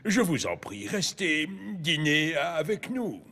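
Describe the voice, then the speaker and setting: A man's voice: a little high, very animated, slow pace, pushed and bright, full character voice. An actor playing a kindly, frail old king in a cartoon fairy tale, courteous and theatrical. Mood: courteous, fatherly. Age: older.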